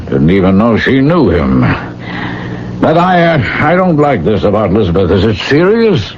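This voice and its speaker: An actor playing a hot-tempered, gruff, impatient older rancher father in a 1970s radio drama. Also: on the low side, huge pitch swings, moderate pace, darkish tone. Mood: hearty, brusque. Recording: muffled, faintly noisy vintage radio broadcast.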